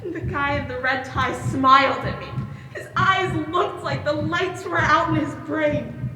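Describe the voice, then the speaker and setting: A woman's voice: high voice, a little slow, crowd noise. A woman acting a traumatised daughter who recounts a hostage ordeal in a projected, dramatic stage monologue. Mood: frightened. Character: traumatised daughter recounting being held hostage with her father. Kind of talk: one-act stage play monologue. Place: indoor stage in a large reverberant hall. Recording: distant echoey hall, some background noise.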